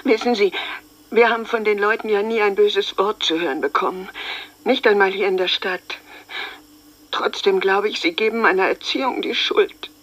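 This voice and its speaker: A woman's voice: medium pitch, moderate pace, muffled recording, lightly acted. An actress in a radio drama playing a simple, middle-aged mother of an accused man, speaking plaintively and defensively. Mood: bewildered, defensive. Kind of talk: German radio drama acting, monologue.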